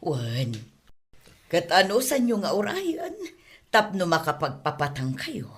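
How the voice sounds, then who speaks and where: A woman plays a hospitable employer's wife in an Ilocano-accented drama. Her voice sits very low for a woman and moves expressively as she chats. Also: sing-song, a little slow. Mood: welcoming. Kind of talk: Ilocano audio drama acting.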